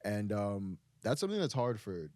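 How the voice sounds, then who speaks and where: A man's voice: lowish voice, very animated, normal speed, warm tone, no echo, clean studio sound. A young man from Brooklyn, a guest on a podcast, talking candidly and earnestly in casual conversation. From Brooklyn, United States. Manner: candid, earnest, talks through ideas with restarts.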